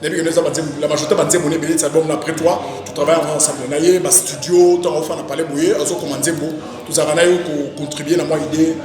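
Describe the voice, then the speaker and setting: A man with a Congolese accent, an actor being interviewed, talking casually in an animated, rambling way and repeating his phrases. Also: on the high side, fast talker, hardly any pauses, crowd noise. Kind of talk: casual interview, recounting career. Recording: very echoey reverberant room, music behind. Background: music.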